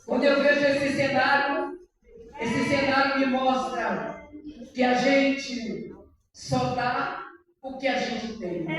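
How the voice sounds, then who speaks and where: A high-voiced woman with a Bahian accent preaches with fervour, letting phrases rise into song and cry out. Her delivery is slow and unhurried. She is heard from a distance, in a church hall that rings with long reverb. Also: background noise. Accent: Bahian Brazilian Portuguese. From Salvador, Bahia, Brazil. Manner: fervent preaching, sliding into sung phrases and shouts. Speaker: guest preacher. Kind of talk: sermon. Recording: echoey church hall, voice from far off.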